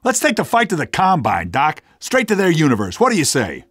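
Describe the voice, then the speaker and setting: An actor playing a cocky, stubborn rebel fighter in a video game, casual, streetwise and gravelly. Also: male voice, fairly high pitch, huge pitch swings, a little fast, a bit bright, no room sound, clean studio sound, heavily acted. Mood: wry, uneasy.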